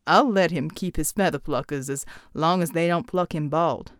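A woman voicing a wry, weary, fatherly male commander with a folksy, unhurried delivery in an audiobook. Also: deep voice, no echo, studio quality, voice pushed down, natural voice.

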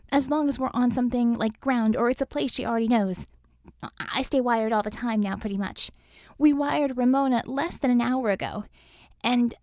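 Reader lady as friendly peppy superheroine teammate, talks casual, highish pitch, dry audiobook. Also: a little mellow, no room sound. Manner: chatty, informal. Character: energetic, friendly young woman superhero teammate, casual and eager.

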